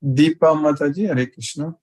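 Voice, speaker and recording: Husky-voiced man leading an online class. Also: on the high side, normal speed, darkish tone. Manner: repeats greetings and short phrases. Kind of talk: live question and answer with students.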